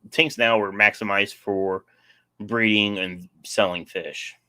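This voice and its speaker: A man's voice: lowish voice, steady tone, a little slow, pushed and bright. A young man casually hosting a live stream, rambling and answering chat, his voice getting sore.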